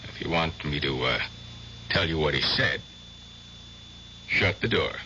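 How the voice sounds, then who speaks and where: An actor playing an earnest young doctor in a radio drama, speaking in a measured, tactful and slightly hesitant way. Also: male voice, extremely low pitch, expressive, bright, forceful tone, exaggerated voice. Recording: muffled old radio broadcast, faint noise.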